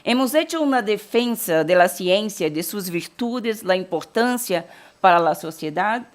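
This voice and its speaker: Brazilian woman from Bahia, lecturer, code-switching portunhol, measured academic delivery. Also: medium pitch, expressive. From Salvador, Bahia, Brazil. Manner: mixes Portuguese and Spanish, frequent restarts. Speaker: keynote lecturer.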